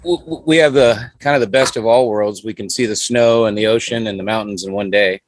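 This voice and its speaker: A man's voice: on the low side, fairly quick, slight background noise, poor audio. A man from California, a musician guest on a podcast, talking in a laid-back, rambling way with lots of fillers. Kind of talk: casual interview chat.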